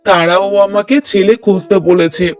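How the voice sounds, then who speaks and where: A man's voice: sits high, little pitch movement, normal speed, faint music behind, lightly acted. A man playing an obliging village matchmaker in a cartoon drama, talking in a reassuring, persuasive way.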